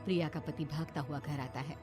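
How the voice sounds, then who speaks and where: A female storyteller delivers scripted horror narration in a dramatic style. Music is clearly heard behind her voice. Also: low voice, fairly even intonation, a little fast.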